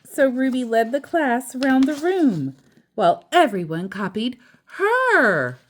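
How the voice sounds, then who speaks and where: Expressive, unhurried woman storyteller. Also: sits high. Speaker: children's book storyteller. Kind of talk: picture book read-aloud with casual asides.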